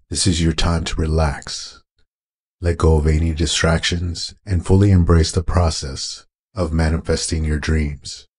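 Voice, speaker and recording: A man narrating a guided meditation in a calm, soothing, unhurried way, as a guide would. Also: a bass voice, breathy edge. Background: meditation music with singing bowl tones.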